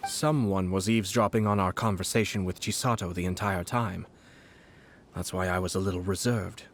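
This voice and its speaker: A man voicing a calm, shrewd young nobleman in a video game who speaks in a measured, analytical way. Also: low-pitched, slight background noise. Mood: composed. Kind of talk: game voice acting. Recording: game audio over loud background music.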